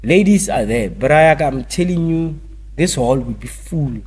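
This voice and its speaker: A man's voice: a little high, expressive, a little slow, a little mellow, husky. A man with a South African accent talking casually as an interview guest, repeating phrases and mixing in a local language. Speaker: fitness trainer, interview guest. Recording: noticeable background noise and ambience.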